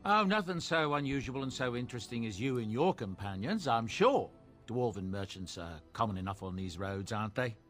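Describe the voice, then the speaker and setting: A man's voice: medium pitch, animated, brisk, a bit bright, soft background music. A man voicing a genial middle-aged dwarf merchant in a fantasy game, with an obsequious salesman's courtesy. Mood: ingratiating.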